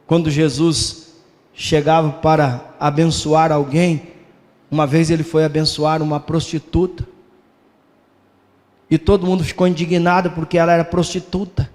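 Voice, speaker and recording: A man preaching in a church hall, his fairly high voice ringing with a long reverb. He builds his points in rhythmic, repeated phrases, then stretches lines out slowly. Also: flat delivery, a little mellow. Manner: rhythmic, repetitive preaching with sudden rises and drawn-out lines. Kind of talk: Pentecostal-style sermon.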